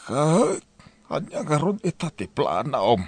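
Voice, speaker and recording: Guy doing husky old grandpa, ilocano accent, slow scolding advice, highish voice, radio drama. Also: wildly swooping pitch, darkish tone, no echo, exaggerated voice. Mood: reproving. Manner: admonishing.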